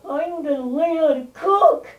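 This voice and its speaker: A man's voice: very high-pitched, a little slow, echoey room, highs cut off, a little hiss or hum. A boy voicing a naive, whiny puppet dinosaur who knows nothing, recorded from a distance. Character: clueless childlike puppet dinosaur.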